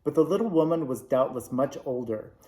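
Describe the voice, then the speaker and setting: A man reading a classic children's novel aloud, with measured, expressive narration. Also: mid-range voice. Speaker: storybook reader. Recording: roomy echo.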